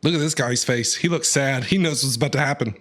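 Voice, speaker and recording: A man's voice: medium pitch, quick pace, crowd noise. A man giving live reaction commentary over a story video game, exclamatory and dramatic, with casual slang. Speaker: streamer.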